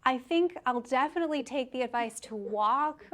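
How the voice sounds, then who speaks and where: A woman's voice: sits high, expressive, moderate pace, fairly bright tone. A woman hosting a campus event, asking the finalists questions in an upbeat, encouraging way. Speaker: event host. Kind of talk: hosting an interview.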